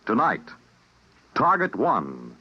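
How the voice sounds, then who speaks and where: A man's voice: medium pitch, wildly swooping pitch, slow pace, husky. A man announcing a 1950s radio show in a portentous, declamatory, dramatic style. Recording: muffled old radio recording. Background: loud dramatic music.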